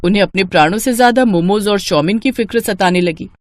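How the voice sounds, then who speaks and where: A woman's voice: mid-range voice, brisk, background noise. A woman narrating a scripted moral story for children.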